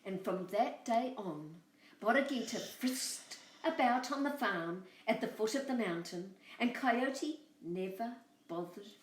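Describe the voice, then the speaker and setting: A woman's voice: on the high side, animated, takes it slowly, reverberant, distant mic, rough recording. A woman, hosting a story time and reading a storybook expressively to children.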